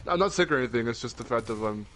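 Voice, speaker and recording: A man's voice: mid-range voice, animated, racing, fairly bright tone. A young man talks with a groggy, worn morning voice, the way a podcast co-host chats casually. He rambles, backs up to correct himself and drops the odd swear. Faint music plays underneath him. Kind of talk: casual chat.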